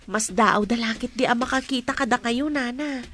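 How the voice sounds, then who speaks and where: A woman's voice: a little high, moderate pace, fairly bright tone. A young woman with an Ilocano accent acting a respectful, helpful young lady in a radio drama. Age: young adult.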